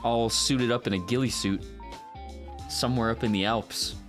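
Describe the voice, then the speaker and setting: Male voice actor hosting a casual stream, medium pitch, unhurried and playful, light music underneath. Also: steady tone, a bit bright. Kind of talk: casual livestream chat.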